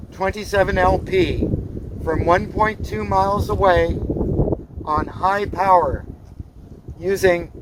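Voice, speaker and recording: A man making CB radio test calls with deliberate, repetitive radio-operator phrasing. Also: high-pitched, noisy background. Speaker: CB radio reviewer. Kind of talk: radio check test calls and product review talk.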